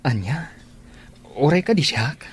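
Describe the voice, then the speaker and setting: An actor playing a gentle, lovelorn man with a sincere, pleading delivery in a radio drama. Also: a little high, expressive, slow, very noisy. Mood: hurt, pleading. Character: earnest, lovelorn man Ferdinand, gentle and persistent.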